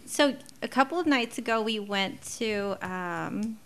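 A woman's voice: a little high, expressive, measured pace, a bit bright, smooth. A young woman, a therapist from Southern California who was born in Mexico, chatting casually as a podcast guest and laughing often.

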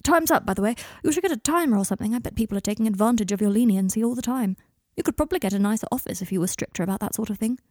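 A sardonic, self-deprecating young man played by a woman narrator in audiobook dialogue. He deflects with sarcasm and rambles into gloomy confessions, in a mellow voice recorded close and dry. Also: medium pitch, lively intonation, a little fast, husky, no echo. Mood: gloomy, wry.

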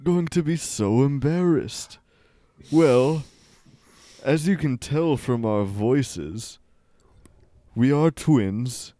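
Slow deadpan man, low and mellow. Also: dry recording. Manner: deadpan, mock-serious. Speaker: comedy musician guest. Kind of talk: absurd comedic banter.

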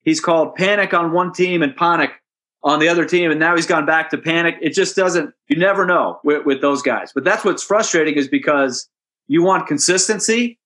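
A hockey play-by-play announcer answering interview questions in a relaxed, anecdotal way, chatty and amused. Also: male voice, a little high, moderate pace. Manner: chatty storyteller, amused, with restarts and asides.

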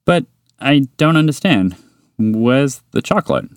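A man voicing a big, gentle, slow-witted moose in a bedtime story, hesitant and bashful. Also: mid-range voice, big pitch swings, clean tone, no room sound, clean studio sound, voice pushed up. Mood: unsure. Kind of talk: storybook character voice.

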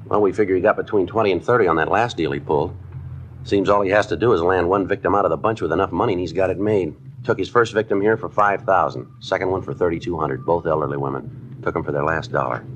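Very deep, deadpan actor as police detective. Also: male voice, fast talker, lo-fi sound, a little hiss or hum, natural voice. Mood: businesslike. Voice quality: flat, terse delivery. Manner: deadpan, businesslike. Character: terse, matter-of-fact male police detective sergeant. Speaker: narrator and lead actor of a police series. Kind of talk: 1950s radio drama acting, scripted narration. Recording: 1950s radio recording with music.

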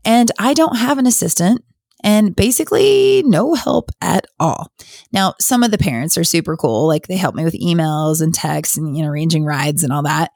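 A woman's voice: on the low side, lively intonation, moderate pace, gravelly, darkish tone, dry recording, clean studio sound. Open, confessional woman podcast host. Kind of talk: casual solo podcast talk.

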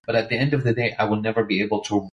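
A man's voice: on the low side, steady tone, rapid-fire. A man in his forties, an author and writing teacher, explaining earnestly and agreeably in a casual interview. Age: 40s. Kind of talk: casual conversation. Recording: roomy echo.

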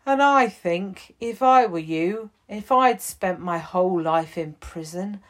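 A woman's voice: fairly low pitch, animated, takes it slowly, voice pushed up. A woman doing a kind prison chief. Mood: gentle. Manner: gentle, reassuring.

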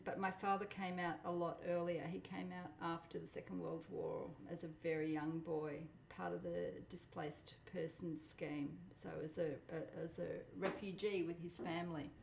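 A middle-aged woman who grew up speaking Polish, talking thoughtfully in a relaxed interview while cooking, with the odd soft laugh. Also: lowish voice, gravelly, reverberant, low-quality recording. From Australia. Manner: thoughtful, measured, laughs softly. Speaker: interviewee, home cook. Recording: faint background noise.

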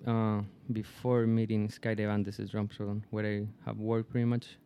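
Young Chilean guest, Spanish-accented English, smooth resonant voice, halting phrasing. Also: male voice, on the low side, flat delivery, dark tone. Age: 20s. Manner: hesitant, searching for words. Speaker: guest skydiver. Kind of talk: casual podcast chat.

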